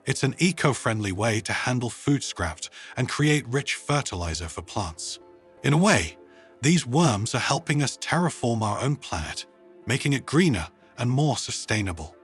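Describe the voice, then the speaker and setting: A man voicing an enthusiastic, earnest woman scientist in a storybook, with a bright, eager, persuasive manner. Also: medium pitch, big pitch swings, moderate pace, breathy edge, soft background music. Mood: earnest passion. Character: enthusiastic, earnest female human scientist proudly presenting worms.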